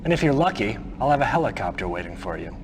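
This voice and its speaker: A man playing a smug, menacing militant officer who briefs a subordinate in a video game, theatrical, deliberate and condescending. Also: lowish voice, very animated, music in the background, low-quality recording. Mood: resentful, sarcastic.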